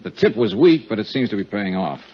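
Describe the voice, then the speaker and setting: An American actor playing a wry, hard-boiled insurance investigator in a 1950s radio drama, with clipped, punchy delivery. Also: male voice, fairly low pitch, sing-song, a little fast, slight background noise. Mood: brisk, serious. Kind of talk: 1950s radio detective drama acting, narrated expense account. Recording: dull 1950s radio recording with music.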